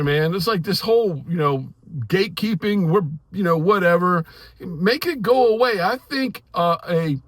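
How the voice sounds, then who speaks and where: This is a middle-aged American man with a notably high voice for a male speaker. He talks to viewers like a hobbyist YouTuber, informal, opinionated and emphatic, wandering from point to point. The sound is dry, with very little room in it. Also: fairly bright tone, dry recording. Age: middle-aged or older. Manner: informal, emphatic, rambling with "you know" and "man". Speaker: ham radio YouTuber.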